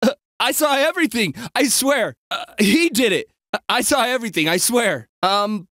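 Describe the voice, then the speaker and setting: A man's voice: very high-pitched, normal speed, no room sound, studio quality. An actor playing a snarky, crude, jumpy young male bystander in a video game, with a sarcastic, exaggerated delivery. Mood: casual, excitable. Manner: sarcastic, exaggerated, swinging from flat to frantic.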